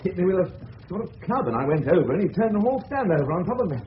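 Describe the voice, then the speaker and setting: Shaken English suburban father, emphatic, lively pitch; radio drama, dull sound with faint hiss. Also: fast talker. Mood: shaken, indignant. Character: shaken, indignant middle-aged suburban father. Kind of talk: 1970s radio drama acting. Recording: dull hissy old radio recording.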